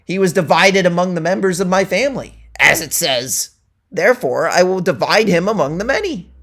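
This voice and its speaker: A man giving a deliberate, theatrical dramatic reading of a satirical story, declaiming its quoted verses. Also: sits high, lively intonation, fairly bright tone. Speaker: reader.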